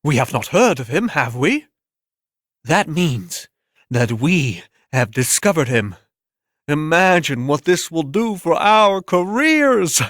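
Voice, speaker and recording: A man voicing a pompous, excitable art critic in a dramatic story reading, exclaiming with overblown fervor. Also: on the high side, slow pace, no echo, studio quality, pitched above the performer's own voice, heavily acted. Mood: excited.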